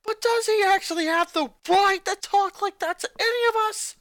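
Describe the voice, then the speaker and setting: A man reading a fanfic aloud, voicing a cocky sporty girl who shouts and mocks. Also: extremely high pitch, moderate pace, no echo, studio quality, voice pushed up. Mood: taunting. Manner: shouting, mocking. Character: brash tough sporty girl, a jock.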